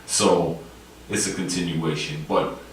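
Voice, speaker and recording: A man hosting a radio show and interviewing a guest, talking haltingly and repeating his words as he frames questions. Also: sits low, steady tone. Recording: echoey, distant, faint noise.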